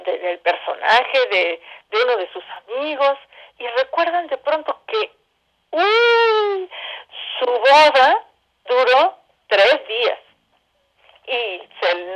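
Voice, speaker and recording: A Mexican woman explaining things as an interview guest in an expressive, colloquial, storytelling way over a telephone line. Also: fairly high pitch. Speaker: interview guest, theatre director. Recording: dull telephone-line sound.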